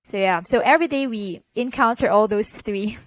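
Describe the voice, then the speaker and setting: A woman's voice: a little high, moderate pace, no echo, poor audio. A young woman from the Philippines with a Filipino accent, chatting as a podcast guest and filling her talk with "like" and "you know". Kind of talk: casual interview conversation.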